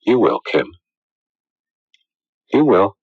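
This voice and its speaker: An actor playing an earnest, conflicted fiancé in a radio drama, speaking gravely and haltingly. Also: male voice, on the low side, sing-song, a little mellow, no room sound, soft background music, heavily acted. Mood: tender. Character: earnest, conflicted fiancé named Stan, gentle and solemn. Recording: dull lo-fi old radio recording.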